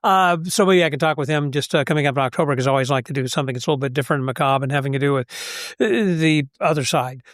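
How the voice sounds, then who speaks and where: Male podcast host, slightly raised pitch, dry close sound, conversational questions. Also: rapid-fire, hardly any pauses, a bit bright, no echo. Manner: conversational, asks leading questions, frequent backchannel. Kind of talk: casual interview chat.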